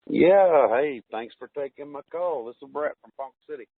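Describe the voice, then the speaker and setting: A man's voice: on the high side, moderate pace, breathy edge, no echo. A man from the United States calling in, chatting casually and a little hesitantly over the telephone. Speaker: caller. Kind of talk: casual phone chat. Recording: narrowband tinny phone line.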